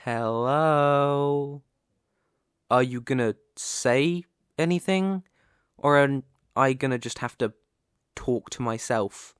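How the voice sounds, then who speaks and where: A man's voice: mid-range voice, clear, resonant tone, dry recording, studio quality, natural voice. A man playing a proud, wary male elf hunter who is hostile to humans, stern and indignant, in an ASMR roleplay. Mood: puzzled. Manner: stern, indignant, halting when baffled.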